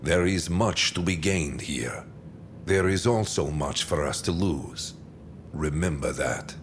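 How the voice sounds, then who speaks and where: An actor playing a stern, calculating military commander in a game cutscene, speaking with measured, deliberate menace. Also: male voice, low-pitched, background noise. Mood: cold, measured menace. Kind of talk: dramatic scripted game briefing.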